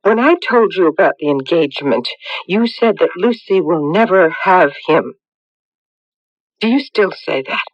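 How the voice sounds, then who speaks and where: A woman's voice: fairly low pitch, lively intonation, measured pace, no room sound. Stern, anguished mother. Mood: probing, worried. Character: stern, anguished middle-aged mother confronting her daughter. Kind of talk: radio drama acting. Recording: dull, dry vintage radio recording.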